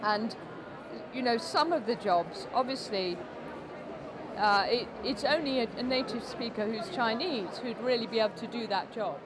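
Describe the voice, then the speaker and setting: A woman's voice: fairly high pitch, moderate pace, a crowd in the background. A woman giving a spontaneous interview answer. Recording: clear background noise on location.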